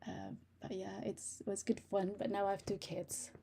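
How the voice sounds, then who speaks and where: A woman's voice: medium pitch, flat delivery, normal speed, vocal fry, mellow, a little hiss or hum. Giggly woman chatting on a livestream. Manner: giggly, laughs often while talking. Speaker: livestream host. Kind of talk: casual chat.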